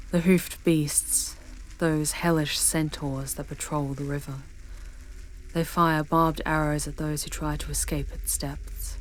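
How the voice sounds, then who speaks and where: A woman's voice: low voice, slight background noise, low-quality recording. A woman voicing a proud, sardonic, protective female demon in an ASMR audio drama, speaking with haughty command and dropping into whispers. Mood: solemn, soothing.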